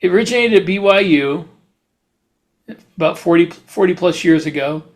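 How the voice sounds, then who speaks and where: A man co-hosting a podcast in casual chat, talking in a halting, rambling way. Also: sits high. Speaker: podcast host; writer.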